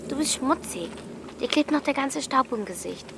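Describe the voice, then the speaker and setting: A woman's voice: high voice, expressive, normal speed, gravelly, background noise. A woman playing a stubborn, practical thirteen-year-old girl in an audio drama, caring but curt. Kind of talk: German audio drama acting.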